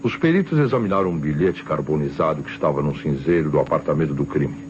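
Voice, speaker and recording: An actor playing a stern, suspicious Brazilian police inspector who questions insistently in an old radio detective drama. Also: male voice, a bass voice, expressive, quick pace. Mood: stern, accusing. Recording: muffled old radio recording, loud noise.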